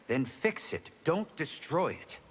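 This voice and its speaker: A man's voice: fairly high pitch, big pitch swings, slow, a bit bright, in a large hall, a little hiss or hum, heavily acted. A man voicing a firm, compassionate warrior in a video game, earnest and admonishing in his delivery. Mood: earnest, reproachful. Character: righteous, reasoning male warrior, firm but compassionate. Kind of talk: video game character dialogue.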